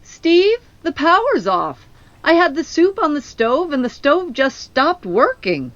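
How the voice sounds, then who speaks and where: An actress playing a worried suburban homemaker in a radio play. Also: female voice, high voice, very animated, unhurried, dry recording, muffled recording.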